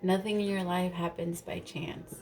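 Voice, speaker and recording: A woman's voice: lowish voice, flat delivery, moderate pace, a little mellow, low-quality recording. A woman reading tarot cards in a casual, rambling way, a little stuffy and nasal from allergies, swearing now and then. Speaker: tarot reader.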